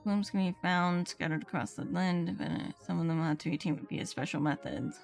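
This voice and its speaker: A woman's voice: on the low side, moderate pace, vocal fry. Woman livestreaming a game over music. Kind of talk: casual game stream chat, reading in-game dialogue aloud. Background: video game music.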